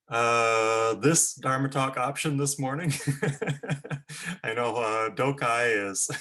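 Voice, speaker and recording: A man's voice: mid-range voice, pushed and bright. A man who is a Zen priest giving a casual Dharma talk over a video call, chatty, with frequent "uh" fillers and little laughs.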